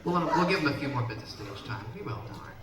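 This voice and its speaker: A man in his twenties from the Baltimore area, doing a brash, punchy stand-up set on a comedy club stage and sometimes shouting. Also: mid-range voice, animated, racing. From Baltimore area, Maryland. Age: 20s. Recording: roomy, distant, noisy club recording.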